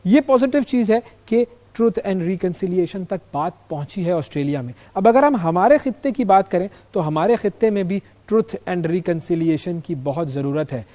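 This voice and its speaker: A man with a Pakistani accent presenting and interviewing as a current affairs host, in a measured, emphatic, formal manner. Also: on the high side, mellow. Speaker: news programme host.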